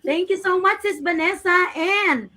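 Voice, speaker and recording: Woman, very high pitch, livestream host, effusive, welcoming introductions. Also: a little slow, crowd noise. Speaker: host of a live online show. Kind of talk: hosting a livestream, introducing guests.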